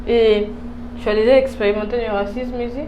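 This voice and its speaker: A woman's voice: on the high side, steady tone, brisk, highs cut off. A woman with a Congolese French accent hosting a casual interview, searching for words and mixing in English. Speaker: host, interviewer. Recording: clear background noise.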